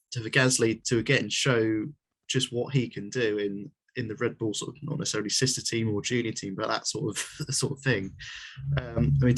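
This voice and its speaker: A man's voice: on the low side, rough recording. A man with a cold who co-hosts a casual podcast over a video call, rambling through long answers full of restarts and small chuckles. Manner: rambling, with many fillers, restarts and small laughs. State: has a cold. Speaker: podcast co-host.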